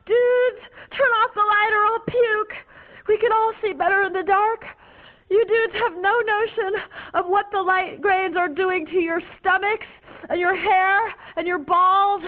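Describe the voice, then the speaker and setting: A woman playing a tripping, whimpering young raver. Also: extremely high pitch. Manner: dreamy, babbling, whimpering. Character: young woman raver, high, paranoid, rambling. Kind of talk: comic stage monologue. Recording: tinny phone-like lo-fi recording.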